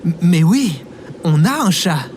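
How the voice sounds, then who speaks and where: A man's voice: fairly high pitch, big pitch swings, slow, darkish tone, a little hiss or hum. A man playing a reluctant, easily flustered human leader in a comedic audio drama. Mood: bewildered.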